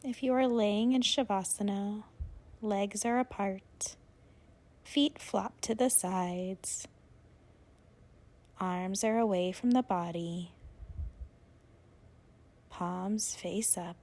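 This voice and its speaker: A woman's voice: medium pitch, fairly even intonation, darkish tone. Woman guiding a relaxation meditation. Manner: soothing, unhurried instruction with long pauses. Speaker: yoga nidra instructor.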